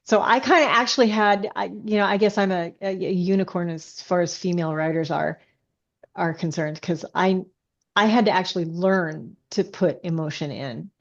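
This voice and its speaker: A woman speaking as a guest author in a casual interview, explaining things in a halting, reflective way. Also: fairly low pitch, lo-fi sound.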